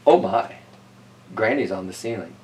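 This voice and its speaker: A man's voice: fairly low pitch, expressive, moderate pace, a little mellow, recorded from a distance, slight background noise. A man reading a picture book aloud for children, dropping in playful, wry asides as he goes. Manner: playful, wry commentary on the story. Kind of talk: animated children's story reading with casual asides.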